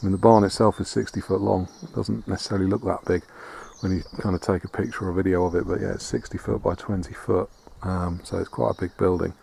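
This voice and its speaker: Low gravelly man touring an old barn. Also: quick pace, few pauses, a little hiss or hum. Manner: relaxed, hesitant with fillers and restarts. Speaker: vlogger restoring a farmhouse. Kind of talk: casual walk-around tour. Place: outdoors in a farmyard and inside a large barn.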